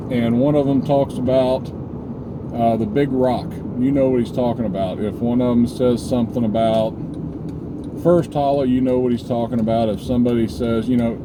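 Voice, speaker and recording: A man with a mid-range voice speaks informally, like a vlog host teaching a practical lesson. He explains things deliberately, point by point, and often checks that listeners follow. A loud, constant hum of background noise sits behind his voice throughout. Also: medium pitch. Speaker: preparedness video host, former law enforcement officer. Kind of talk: informal instructional vlog talk.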